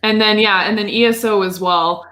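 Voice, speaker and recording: A woman's voice: medium pitch, steady tone, moderate pace, bright, forceful tone. A woman co-hosting a podcast, chatting casually about video games in an informal, rambling way. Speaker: podcast co-host.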